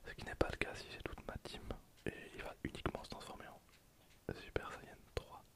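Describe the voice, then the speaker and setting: A person whispering casual, slangy ASMR commentary over a video game, in breathy, hesitant phrases that trail off. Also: fairly quick. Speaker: host of an ASMR gameplay channel.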